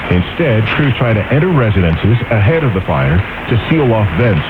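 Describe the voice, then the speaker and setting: A man's voice: on the low side, sing-song, moderate pace. An American man anchoring radio news, reading scripted reports in a broadcast style. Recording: narrow tinny AM radio, loud static noise.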